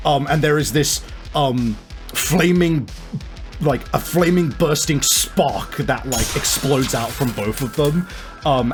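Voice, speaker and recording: Guy running a tabletop rpg, making up the scene as he goes, kinda halting, music behind him. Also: mid-range voice. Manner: halting, pieces scenes together as they go. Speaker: game master. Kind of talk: improvised tabletop game narration.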